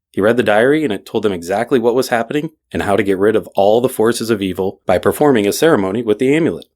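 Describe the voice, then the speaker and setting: Male narrator, lowish voice, scripted bedtime storytelling for children. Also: darkish tone.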